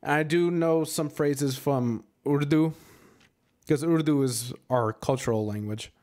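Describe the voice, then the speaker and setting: A man in his twenties, tired and relaxed, answering chat questions in a rambling, casual live stream from a small room at home on a boom-arm mic. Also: slow pace. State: exhausted. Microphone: studio mic on boom arm, headset on.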